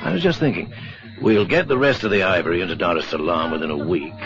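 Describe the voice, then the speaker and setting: An actor playing a hearty, bluff colonial big-game hunter in a radio drama. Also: male voice, low-pitched, quick pace. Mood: tender. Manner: bluff, expansive. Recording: dull radio recording with crowd behind.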